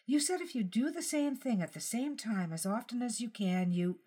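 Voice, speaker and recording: A woman's voice: fairly high pitch, animated, moderate pace, mellow, slightly rough. An anxious elderly wife from an audiobook story, as a woman narrator plays her. She explains herself earnestly and hesitantly, with a wistful, absent air. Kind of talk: audiobook character dialogue.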